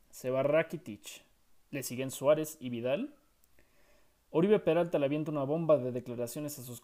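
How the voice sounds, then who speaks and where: A young man hosts a scripted sports commentary show. His voice is mellow and warm, at a middle pitch. He argues his opinions and keeps posing rhetorical questions. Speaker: sports podcast host.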